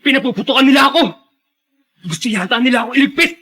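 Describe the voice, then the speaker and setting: A man's voice: very high-pitched, fast, pushed and bright, a little hiss or hum. A Filipino actor playing a desperate, defiant young fugitive in a radio drama, frantically narrating his own escape. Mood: panicked, defiant. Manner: frantic, melodramatic self-narration. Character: desperate defiant young man fleeing the police.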